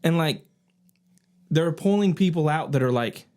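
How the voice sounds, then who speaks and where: A man's voice: fairly high pitch, lively intonation, unhurried, darkish tone. A man co-hosting a podcast, casually telling rambling anecdotes and quoting people as he goes. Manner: rambling anecdotes, acting out quotes. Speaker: podcast co-host. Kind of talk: casual chat.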